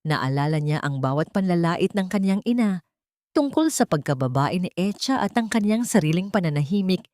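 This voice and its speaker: Low-voiced woman narrating drama, close and dry. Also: expressive, moderate pace, warm tone, no echo. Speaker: storyteller narrator. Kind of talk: scripted dramatic story narration.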